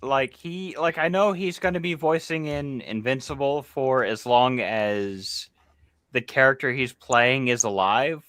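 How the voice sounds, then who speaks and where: A man's voice: mid-range voice, measured pace, a bit bright, no echo. A man chatting as a panelist on a livestream, often restarting and repeating his phrases. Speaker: panelist on a toy review livestream. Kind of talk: casual group discussion. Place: online livestream call.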